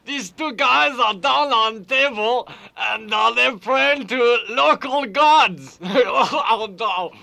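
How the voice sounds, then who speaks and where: A man voicing a bumbling male radio correspondent in a comedy sketch, halting and full of "uh" fillers and corny puns. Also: very high-pitched, vocal fry, bright, forceful tone, heavily acted. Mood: goofy.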